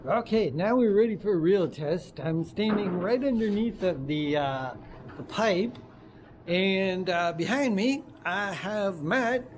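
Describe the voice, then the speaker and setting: A man giving an informal, halting walk-around tech demonstration, thinking aloud as he goes. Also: high voice, expressive. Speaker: presenter of a tech demo. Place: makerspace woodworking and tool shop. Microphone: wireless lavalier, sometimes built-in computer mic. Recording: wireless lav in noisy workshop.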